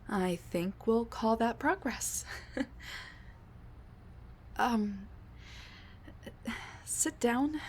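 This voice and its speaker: A woman voicing a scatterbrained older woman scientist who has traveled back in time to meet her husband. She stammers and restarts, full of fillers, and sometimes drops to a hushed mutter. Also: fairly high pitch, slight background noise. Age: middle-aged. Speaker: audio roleplay performer. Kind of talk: audio roleplay drama.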